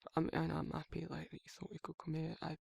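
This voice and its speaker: A man playing a protective, awkward but tender werewolf in an intimate ASMR roleplay, speaking in a hesitant, husky British murmur. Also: fairly high pitch, monotone, no room sound, studio quality, exaggerated voice. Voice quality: husky, gravelly, breathy. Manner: halting, hesitant, self-correcting, softly murmured.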